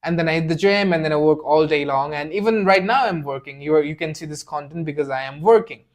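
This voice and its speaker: A man's voice: fairly high pitch, quick pace. A young man whose first language is Nepali, giving a blunt, earnest motivational talk to the camera and repeating phrases for emphasis. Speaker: self-improvement video creator. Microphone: camera mic, speaking to camera.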